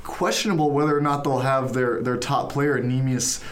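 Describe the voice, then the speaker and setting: A man's voice: mid-range voice, steady tone, normal speed. Young man, college sports podcast co-host, casual teasing chat, studio mic. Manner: joking, teasing.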